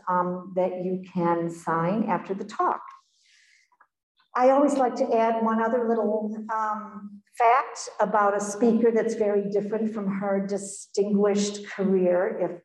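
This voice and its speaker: A woman hosts the event and gives a formal introduction of a guest speaker. She talks briskly with a few small fillers, at a middle pitch. Her voice rings in an echoey hall. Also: darkish tone. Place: echoey lecture room.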